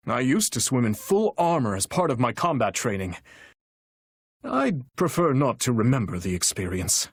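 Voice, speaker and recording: A man voicing an earnest, courteous young warrior king with a heavy burden for a video game, speaking in formal, measured, courtly diction with a husky voice. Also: mid-range voice, sing-song, no room sound, clean studio sound. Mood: lightly teasing. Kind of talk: video game character voice lines.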